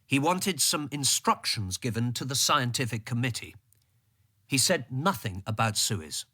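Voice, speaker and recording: An actor playing a reflective civil servant, narrating a radio drama in the first person, measured and articulate. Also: male voice, mid-range voice, animated, sharp tone. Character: reflective male civil servant telling his story.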